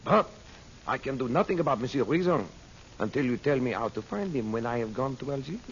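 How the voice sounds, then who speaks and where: A man's voice: medium pitch, animated, fairly quick, lightly acted. Guy doing sneaky polite sorcerer from old radio show, kinda oily, bits of french, muffled with hiss. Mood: wheedling, deferential. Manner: polite, insinuating, French phrases. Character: male hero magician disguised as deferential, wheedling French-speaking sorcerer. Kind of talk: old-time radio adventure acting.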